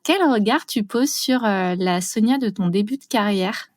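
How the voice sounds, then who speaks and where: A woman hosts a podcast in a middle-pitched voice, recorded dry and close with no room sound. Her questions come out relaxed, with a few fillers along the way. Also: normal speed, dry recording. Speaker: podcast host. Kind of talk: casual interview chat.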